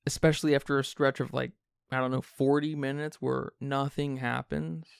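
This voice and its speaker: A man's voice: medium pitch, flat delivery, normal speed, creaky, clean tone, no echo, studio quality. A man hosting a film review podcast in a casual, conversational way. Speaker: podcast host.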